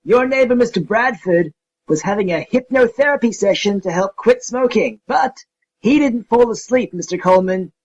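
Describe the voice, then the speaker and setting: A man's voice: high voice, expressive, normal speed, highs cut off. A man playing an eccentric, overconfident amateur detective in a comic audio drama, cheeky and theatrical. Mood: confident. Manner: cheeky, self-assured, theatrical. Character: eccentric, overconfident young male amateur detective, excitable and stubborn.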